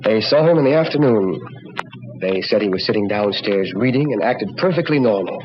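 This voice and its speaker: An actor playing a grave, troubled doctor, speaking with solemn deliberation in an old-time radio drama. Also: male voice, on the low side, expressive, brisk, lightly acted. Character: grave, troubled doctor haunted by a weird experience. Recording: dull old radio recording with clear noise.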